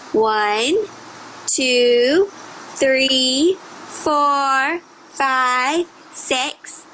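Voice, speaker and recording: A woman with a high, bright voice reads a story to small children. Her delivery is slow and theatrical, breaking off to talk to the young listeners. There is slight noise behind her. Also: low-quality recording. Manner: exaggerated, drawn-out storytelling delivery with child-directed asides. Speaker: teacher reading a picture book aloud to young children. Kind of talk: animated read-aloud with questions to young listeners.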